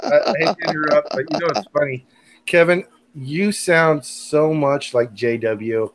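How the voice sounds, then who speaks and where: Man, West Coast accent, slightly high voice, rambling, repeats words. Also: measured pace, a crowd in the background. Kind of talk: casual chat.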